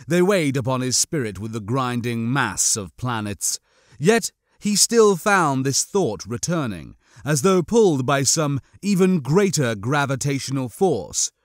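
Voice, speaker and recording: A man reading a science fiction audiobook as scripted, expressive narration. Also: medium pitch, dry recording, studio quality.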